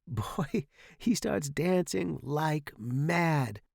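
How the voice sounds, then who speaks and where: A man narrates a short story in the first person with a dramatic delivery. His voice sits a little high for a man, with a gravelly creak, in a very dry, close recording. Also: lively intonation, very slow, no room sound.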